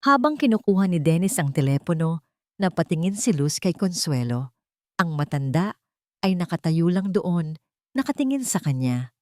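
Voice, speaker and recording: A woman's voice: lowish voice, sing-song, moderate pace, no echo, studio quality. A woman narrating a melodramatic story as a scripted, dramatic reading.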